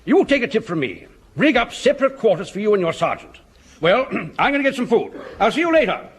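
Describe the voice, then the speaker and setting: An actor playing an overbearing, snobbish older army officer with an upper-class English accent in a broadcast sitcom. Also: male voice, sits high, big pitch swings, fairly quick, husky, full character voice. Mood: brisk. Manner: blustering, condescending. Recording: faintly noisy old radio comedy recording.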